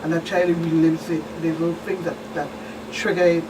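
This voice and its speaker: A woman's voice: deep voice, flat delivery, fairly quick. A woman with a Virgin Islands accent speaking as a police expert on a talk show, explaining things plainly and with emphatic repetition. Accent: Virgin Islands Caribbean. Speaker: police officer, expert guest. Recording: distant mic, clearly noisy room with hum.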